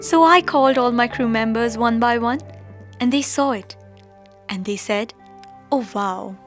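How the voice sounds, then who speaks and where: A woman's voice: fairly high pitch, unhurried, natural voice. An actress playing an awestruck young woman astronaut reminiscing in an audio drama monologue. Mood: awed wonder. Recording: music bed under the voice. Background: instrumental music.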